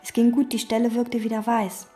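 A withdrawn, obsessive hotel maid, played by a woman in a radio drama. Her voice sits a little high but sounds soft and mellow. She talks calmly, in terse, flat sentences. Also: brisk, soft background music, lightly acted. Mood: detached. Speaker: chambermaid. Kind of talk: radio play, inner monologue and dialogue.

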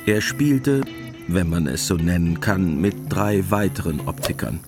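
A man's voice: low voice, very animated, unhurried. A man narrating a scripted radio drama as its storyteller. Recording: music behind.

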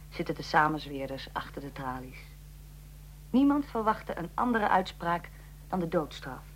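A woman's voice: low voice, a little hiss or hum, poor audio. A woman playing a tense eyewitness reporter in a radio drama, commenting dramatically on history as it unfolds. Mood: sober, probing. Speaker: reporter and interviewer.

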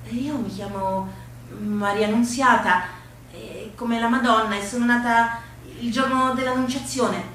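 A woman's voice: a little high, steady tone, a little slow, fairly bright tone. A woman on stage voicing a shy, dutiful young housemaid, hesitant and wistful. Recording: echoey room with hiss.

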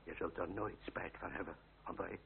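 A deep, gravelly fatherly older man. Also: fairly even intonation, normal speed. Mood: tender, sad. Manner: hesitant, tender, earnest. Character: Pedro, older loyal fatherly uncle, gentle and sorrowful. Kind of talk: period radio drama acting. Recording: old muffled radio play, faint hum.

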